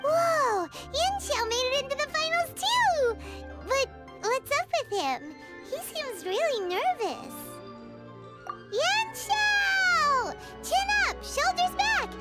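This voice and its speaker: A woman voicing a tiny, childlike, excitable fairy-like companion in an anime video game, squeaky and exclamatory. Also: slow pace, music in the background, heavily acted. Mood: excited, cheering. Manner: refers to herself in third person, exclamatory.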